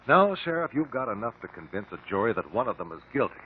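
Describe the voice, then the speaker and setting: An actor playing a calm, shrewd male investigator in a 1950s radio drama, questioning in a measured, precise way. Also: medium pitch, a little hiss or hum, lightly acted. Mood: reasoning, firm. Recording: muffled lo-fi 1950 radio broadcast.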